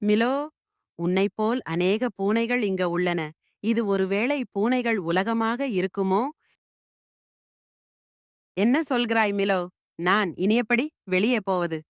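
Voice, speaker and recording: A woman voicing a kind, curious young village girl in a cartoon, speaking gently and earnestly. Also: medium pitch, slow pace, long pauses, clear, resonant tone, dry recording, clean studio sound, natural voice.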